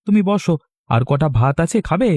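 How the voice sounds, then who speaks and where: A man's voice: fairly high pitch, big pitch swings, normal speed, mellow. A man playing a poor, hardworking young farmer in a Bengali cartoon, humble, plain-spoken and resigned.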